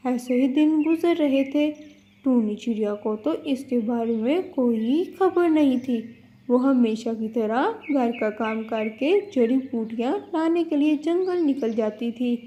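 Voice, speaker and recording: A woman's voice: high voice, mellow, muffled recording. A woman narrating a scripted children's story as storyteller.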